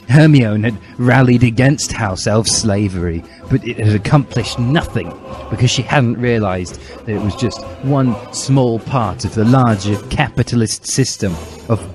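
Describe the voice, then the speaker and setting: Guy narrating a story super dramatically, lowish voice, solemn, music going behind. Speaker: narrator, reader. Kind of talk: dramatic reading of a comic story.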